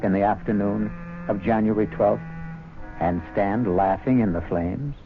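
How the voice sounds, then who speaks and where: A man narrating a radio mystery as host, with a theatrical, wry and measured delivery. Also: on the low side, steady tone, noisy background. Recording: tinny old radio broadcast. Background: music.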